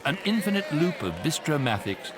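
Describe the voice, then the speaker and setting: A man's voice: fairly high pitch, sing-song, brisk, noisy background. A man playing a wry, erudite narrator of an electronic guidebook in a radio comedy drama. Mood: wry, explanatory. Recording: music behind narration.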